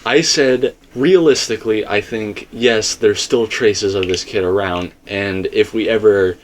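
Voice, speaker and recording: A man with a slightly low voice holds forth in a casual discussion. He speaks emphatically and rambles, slipping into asides and quoting himself as he works through his theory as a commentator. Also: measured pace.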